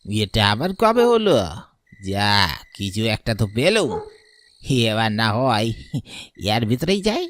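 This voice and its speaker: Man acting an excitable, swooping cartoon village man. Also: mid-range voice, a little slow, pauses often, a little hiss or hum. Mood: fretful, puzzled. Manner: muttering to himself, exclaiming, stammering when scared. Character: frightened village man, Arun, haunted by dead friend. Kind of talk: Bengali horror cartoon voice acting. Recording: background music under the voice.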